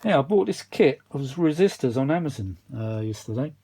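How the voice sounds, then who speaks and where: A man's voice: mid-range voice, expressive, moderate pace, warm tone, slightly rough. Rambling man, casual and mildly weary. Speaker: vintage audio repair vlogger. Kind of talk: casual unscripted workshop chat.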